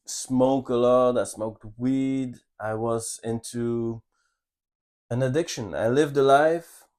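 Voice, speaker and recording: A man in his thirties with a Flemish Belgian accent talks in an unhurried, measured way. His delivery is earnest and halting, with frequent restarts, as if he is choosing each word with care. His voice sits at an ordinary middle pitch, and faint guitar plays behind him. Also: darkish tone. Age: 30s. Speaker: channel co-host. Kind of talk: personal life story, casual talk.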